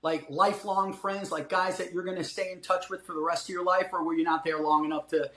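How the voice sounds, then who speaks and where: A man's voice: high-pitched, fairly even intonation, a little fast, pushed and bright. A man hosting a casual podcast interview, greeting guests with enthusiastic hype and asking easygoing questions. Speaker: podcast host. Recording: echoey room.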